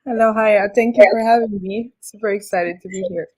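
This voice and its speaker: A young woman, a model being interviewed in a casual talk, speaking haltingly with many fillers and restarts. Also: mid-range voice, steady tone. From Senegal. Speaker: guest, model.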